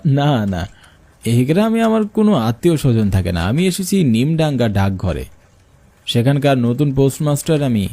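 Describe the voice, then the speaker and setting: A man's voice: medium pitch, very animated, normal speed, mellow. A man playing an earnest postmaster, narrating his own ghost story expressively in a scripted cartoon. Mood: polite, curious. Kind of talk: first-person scripted cartoon horror narration. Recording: music behind the voice.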